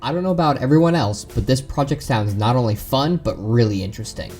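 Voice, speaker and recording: A male podcast host with a mid-pitched voice talks in a casual interview style. His manner is enthusiastic and conversational. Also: fast, a little mellow, faint music behind.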